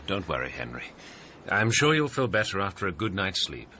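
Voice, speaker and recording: An actor playing a thoughtful gentleman friend who speaks in a calm, reassuring way. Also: deep voice, brisk, a little hiss or hum, full character voice. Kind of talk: period radio drama acting.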